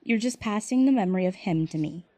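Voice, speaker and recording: A woman playing a gentle, patient young woman who explains things softly in an audio drama. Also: mid-range voice, lively intonation, fast talker, dry recording, lightly acted. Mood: gentle, explanatory.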